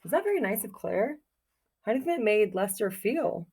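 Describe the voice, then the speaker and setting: A woman's voice: mid-range voice, big pitch swings, a little fast, a little mellow, husky. A woman doing a children's read-aloud like a teacher, reading expressively in a sing-song way and putting simple, encouraging questions to her young listeners.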